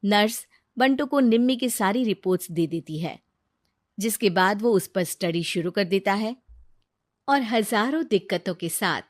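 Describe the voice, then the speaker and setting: A woman's voice: mid-range voice, no room sound, studio quality. A woman narrating a scripted moral story for a cartoon.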